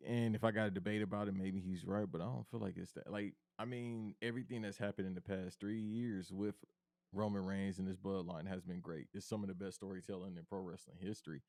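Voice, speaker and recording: A man with a very low, bass-heavy voice, talking wrestling on a podcast. He speaks in an animated, emphatic, slangy way. The sound is close and dry, with no room around it. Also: fairly quick, dry recording. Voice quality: deep bass voice. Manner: animated, emphatic, slangy, calls his cohost bro. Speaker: podcast co-host. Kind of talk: casual wrestling chat.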